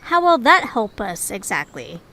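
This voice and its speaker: A woman's voice: medium pitch, big pitch swings, unhurried, sharp tone, exaggerated voice. A woman voicing a blunt, questioning little creature in an audio drama.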